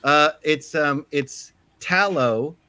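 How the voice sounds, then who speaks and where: A man who is a guest musician, talking casually and haltingly with many pauses. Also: fairly high pitch, sharp tone, clear, resonant tone. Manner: hesitant, halting with fillers. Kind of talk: casual chat.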